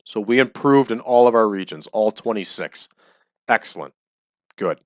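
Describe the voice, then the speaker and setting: Male let's-play host, low and close, chatty, voice slightly dry. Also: expressive, moderate pace, no room sound. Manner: chatty, explains choices as he goes. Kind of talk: casual game commentary. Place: small home room.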